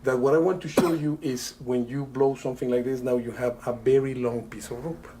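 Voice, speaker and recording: A man's voice: medium pitch, fairly even intonation, background noise. Chatty magician man. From Italy. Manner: chatty showman, halting with frequent fillers. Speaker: magician guest. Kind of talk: live rope trick patter.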